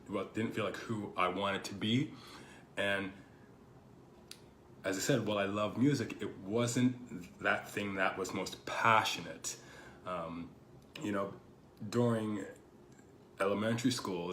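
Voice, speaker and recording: A man hosting his own podcast, talking casually and reflectively with lots of fillers, his voice a little hoarse from a lingering cold. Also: on the low side, a bit bright. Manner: thoughtful, rambling, full of "you know" fillers. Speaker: podcast host. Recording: echoey room with faint background noise.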